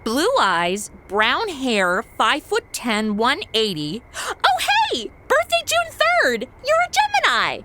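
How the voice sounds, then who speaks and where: A woman playing a sarcastic, excitable young amateur sleuth in a comedy audio drama, with a flippant, exclamatory delivery. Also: on the high side, slow pace, slight background noise, full character voice.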